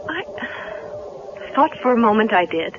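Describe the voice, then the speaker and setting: An actress playing an earnest, anxious young woman in a vintage radio drama, speaking with measured dramatic feeling. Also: a little high, very animated, background noise. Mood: urgent, determined. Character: earnest, grieving young woman returning home, anxious and determined. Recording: muffled 1970s radio recording.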